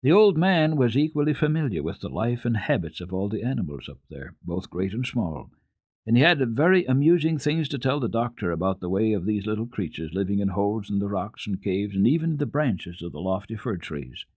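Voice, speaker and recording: A man narrating children's literature as a radio storyteller, reading dramatically in an expressive, deliberate way. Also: on the low side, breathy edge, no room sound.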